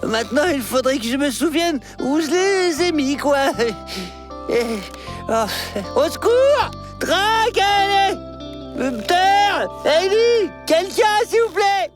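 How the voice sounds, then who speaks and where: A paranoid little dinosaur machine from a children's cartoon. The voice is extremely high and swings wildly, drawn out at a slow pace. It is stubborn, indignant and forever exclaiming. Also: female voice, expressive, over music, heavily acted. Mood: grumbling, panicky. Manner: exclamatory, indignant, stammering questions. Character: excitable paranoid digger truck-dinosaur, stubborn alarmist about aliens. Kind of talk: animated children's series dub.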